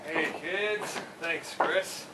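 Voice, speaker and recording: A man's voice: fairly high pitch, drawn-out words. A man playing a playful, sentimental old father with a teasing manner in a staged play reading. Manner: teasing, chuckling. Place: theater stage, recorded from the audience. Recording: distant, echoey, noisy live theater recording.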